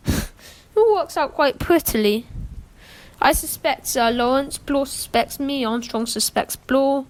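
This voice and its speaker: A cool, wry gentleman from a radio play, played by a woman. The voice sits a little high. The delivery is glib and teasing, full of dark humour. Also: takes it slowly, poor audio. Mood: amused.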